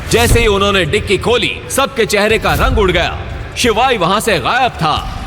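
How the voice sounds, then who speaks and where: A man's voice: high voice, lively intonation, normal speed. A man delivering scripted, dramatic narration for an audio story. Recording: long reverb, prominent music underneath.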